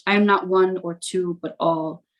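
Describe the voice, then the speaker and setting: Woman poet, lowish voice, reads her spoken word pieces slowly and deliberately in short lines. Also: steady tone. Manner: measured, deliberate delivery in short phrases. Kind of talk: spoken word poetry reading.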